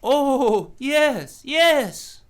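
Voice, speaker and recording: A man's voice: very high voice, big pitch swings, drawn-out words, heavily acted. A man playing a cynical, wisecracking hard-boiled private detective in a noir radio play, wry and slangy. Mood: hard, pressing.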